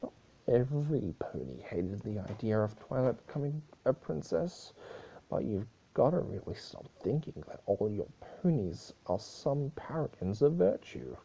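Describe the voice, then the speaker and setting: A man's voice: mid-range voice, very animated, a little slow, gravelly, warm tone, breathy edge, full character voice, low-quality recording. A man voicing a flippant, mischievous male chaos creature with a teasing, blunt manner. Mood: blunt, wry.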